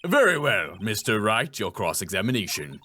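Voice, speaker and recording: A man's voice: fairly low pitch, big pitch swings, moderate pace, sharp tone, slight background noise, pitched below the performer's own voice. A man voicing a solemn older courtroom judge in a video game, formal and stately. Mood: stern, formal.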